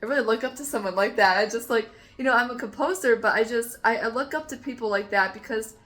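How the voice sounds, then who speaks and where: A woman's voice: fairly high pitch, fast talker, a bit bright, soft background music. A woman vlogger and composer chatting enthusiastically, rambling with lots of "like" and "um". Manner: enthusiastic, rambling with many fillers. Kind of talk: casual chat.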